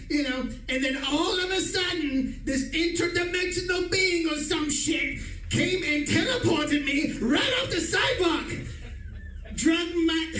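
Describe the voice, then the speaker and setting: A man's voice: very high voice, fairly bright tone, heavily acted. A man playing an exasperated, boastful old-time radio detective who rants theatrically about his misadventures in a comedic drama. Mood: aggrieved ranting. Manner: theatrical, ranting, emphatic. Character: exasperated, boastful male 1940s-style detective, dramatically rattled time traveler. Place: live stage performance in an echoey room. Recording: echoey room, distant mic, faint noise.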